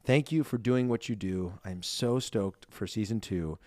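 A young man co-hosting a podcast, reading listener reviews in a lively, self-deprecating chat and laughing easily. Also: fairly low pitch, moderate pace, no room sound, clean studio sound. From Ireland. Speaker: podcast co-host.

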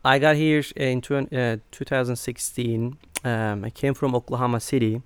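A middle-aged man from Turkey, speaking as an interview guest in a halting way with many fillers. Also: mid-range voice, fairly even intonation, clean tone, no echo. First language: Turkish. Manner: hesitant, many fillers and restarts. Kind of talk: conversational interview.

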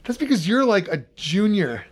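A man's voice: high voice, sing-song, normal speed, a little hiss or hum. A man in his thirties chatting casually as a podcast host, with a teasing, bantering manner. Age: 30s. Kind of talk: casual chat.

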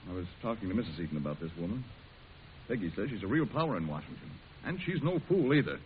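An actor playing an earnest, persuasive cabinet secretary in a 1940s radio drama. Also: male voice, on the low side, big pitch swings, quick pace. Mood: earnest, urging. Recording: tinny, hissy 1940s radio recording.

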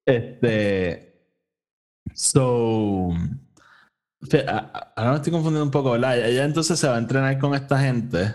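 A man's voice: medium pitch, normal speed, pauses often, a little mellow. A man with a Puerto Rican accent hosting a casual podcast review, recounting a story conversationally and dropping English phrases into his Spanish. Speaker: podcast host reviewing an audio drama.

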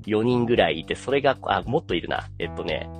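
A man's voice: fairly low pitch, animated, fast talker. A man hosting a podcast, explaining anime in a casual chat and feeling his way through phrases with many fillers. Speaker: podcast host. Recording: music playing behind the voice. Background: background music with guitar.

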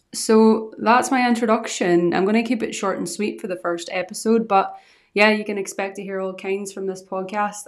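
A young woman with a Northern Irish accent softened by Scottish tones, hosting a solo podcast in an earnest, rambling, chatty way into a podcast microphone. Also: lowish voice. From Northern Ireland. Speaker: podcast host.

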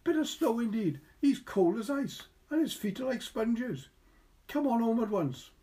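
A bossy young working woman, as a male narrator puts her on, in a storybook. The voice is lifted in pitch, with a scolding, motherly indignation. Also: animated, unhurried, mellow, full character voice. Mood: fussing.

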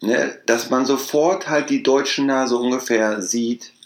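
A man's voice: medium pitch, unhurried. A young man co-hosting a casual podcast chat, rambling with lots of restarts and fillers. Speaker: podcast co-host. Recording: roomy echo.

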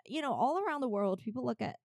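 A woman's voice: fairly high pitch, quick pace, gravelly, dry recording, studio quality. A young woman from China, chatting casually as an interview guest and acting out bits of dialogue as she tells stories. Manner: animated, acts out reported dialogue. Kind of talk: casual conversational storytelling.

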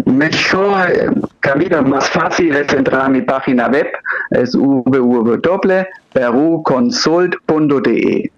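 A man from Germany with an Upper Franconian German accent and a rolled R, speaking Spanish learned as an adult, being interviewed on the radio as a coach, halting often with "eh". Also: mid-range voice, dull audio. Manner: halting, with many hesitations. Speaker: guest coach.

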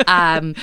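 Chatty woman, smooth low voice. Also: pushed and bright, no room sound, clean studio sound. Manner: chatty, laughing often, lots of likes and restarts. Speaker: podcast guest. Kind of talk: casual chat.

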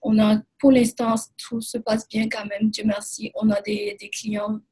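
A woman from Burkina Faso, answering interview questions in hesitant, measured phrasing. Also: medium pitch, flat delivery, dark tone, slightly rough, low-quality recording. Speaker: guest, immigration lawyer.

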